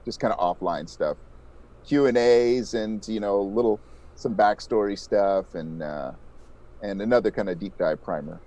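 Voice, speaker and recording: A man's voice: fairly low pitch, moderate pace, dark tone. A man chatting casually as an interview guest, speaking with enthusiasm and expression. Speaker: interview guest, podcast creator.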